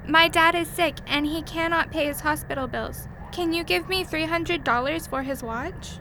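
A woman's voice: high voice, moderate pace, low-quality recording. A woman playing a desperate, earnest, headstrong little girl from another world in a radio play, with formal diction. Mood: urgent, pleading. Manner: formal diction with few contractions. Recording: loud car and outdoor noise behind.

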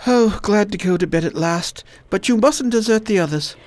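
A woman voicing a weary, Oxford-educated man fighting a plague, speaking low and wry in a radio drama. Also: moderate pace, a little mellow, dry recording, natural voice. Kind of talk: amateur radio drama acting.

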